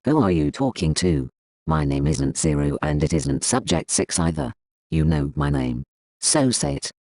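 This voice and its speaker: Scorned woman acted by a man, extremely low gravelly voice, bitter, defiant, emphatic, audio drama. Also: sing-song, moderate pace, darkish tone, no room sound, full character voice. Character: defiant, scorned woman demanding her husband back.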